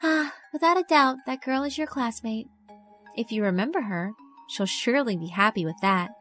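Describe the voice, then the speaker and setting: A woman's voice: fairly high pitch, moderate pace, fairly bright tone, voice pushed up, natural voice. A woman voicing a mysterious schoolgirl in a visual novel, knowing, teasing and uncanny. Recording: music behind the voice.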